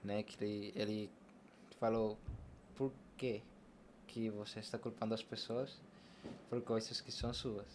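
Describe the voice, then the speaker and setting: A man's voice: on the low side, flat delivery, a little slow, long pauses, darkish tone, a little hiss or hum. A young adult man with a Brazilian Portuguese accent, a podcast guest telling personal stories casually and acting out what was said. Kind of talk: casual personal storytelling in an interview.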